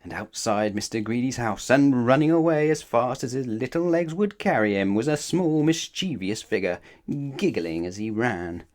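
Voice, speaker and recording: Very animated man reading a children's story. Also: mid-range voice, moderate pace. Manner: expressive, dramatic emphasis. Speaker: storybook reader. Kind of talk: children's story read-aloud.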